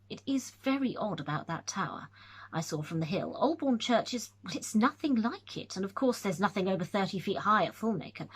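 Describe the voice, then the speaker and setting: A woman's voice: fairly high pitch, breathy edge, pitched above the performer's own voice, heavily acted, rough recording. A woman reading aloud, voicing a young male scholar who tells his tale eagerly, with nervous stumbles. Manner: lively, excited storytelling with hesitations.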